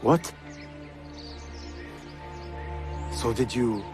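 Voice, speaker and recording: A man's voice: mid-range voice, animated, a little slow. An actor playing a young Ottoman prince in a video game, earnest, diplomatic and composed, with polite, formal phrasing. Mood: shocked, regretful. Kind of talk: cinematic video game acting. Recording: music behind the dialogue.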